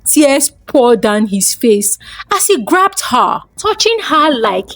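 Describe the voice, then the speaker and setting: A woman narrates a scripted folktale in dramatic storyteller style. Her voice sits high and moves through a wide range, and she takes her time over the words. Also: very animated, slow pace, fairly bright tone.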